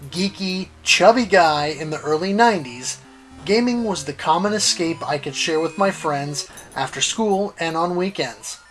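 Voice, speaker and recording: A middle-aged man with a fairly high voice delivers scripted personal narration as a show host. His delivery is deliberate and theatrical, leaning on emphatic pauses. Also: a bit bright, rough recording. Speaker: host of a retro gaming series.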